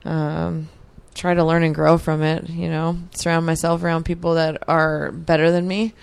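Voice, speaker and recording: Woman, mid-thirties, smooth clear voice, lowish pitch, reflective, earnest, casual interview guest. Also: level tone, moderate pace. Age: 30s. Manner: earnest, reflective, many fillers. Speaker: podcast guest, athlete.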